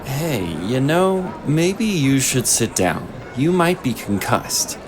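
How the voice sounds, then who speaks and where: Kindly elite guardsman, animated intonation, mid pitch, reassuring, easygoing, fantasy audio drama, clearly noisy background. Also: takes it slowly. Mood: gently insistent. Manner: gently insistent, reassuring. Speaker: voice actor. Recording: noisy outdoor city ambience behind.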